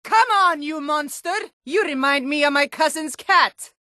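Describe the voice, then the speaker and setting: A stern Nord townswoman from a fantasy video game, with a fairly high voice and a dry, close sound. She is blunt and challenging, quick to suspicion and temper, and stresses her words firmly. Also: bright, forceful tone, dry recording. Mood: battle fury. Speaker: game character voice.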